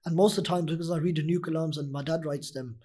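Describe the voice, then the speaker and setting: A young man with a husky, deep voice, a nasheed reciter talking earnestly in a casual interview, full of "you know" fillers. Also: steady tone, quick pace, warm tone. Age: young adult. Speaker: nasheed artist guest.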